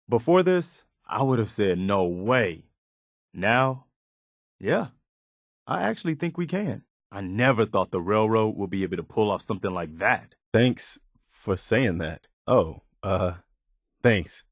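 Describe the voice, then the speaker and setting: An idealistic, principled militia man for a video game. His voice sits on the low side, with an earnest, plain-spoken delivery that stays conversational. The sound is very dry and close, with no room to it. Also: sing-song, unhurried, no echo, heavily acted. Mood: rousing, determined. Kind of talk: video game companion dialogue, naturalistic acting.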